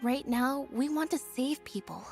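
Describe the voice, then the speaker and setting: A woman playing a reserved young magician's assistant in an anime game, terse and deadpan. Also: high-pitched, unhurried, vocal fry, soft background music. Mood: matter-of-fact. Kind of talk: anime game dialogue acting.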